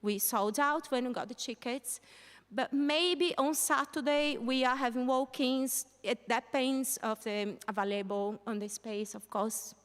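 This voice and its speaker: A woman's voice: a little high, measured pace. A woman from Brazil whose first language is Portuguese, with a Brazilian accent, in her thirties, telling her story live to an audience in a chatty way. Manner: chatty, laughs between lines. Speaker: guest speaker. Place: live venue stage with audience. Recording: long hall reverb.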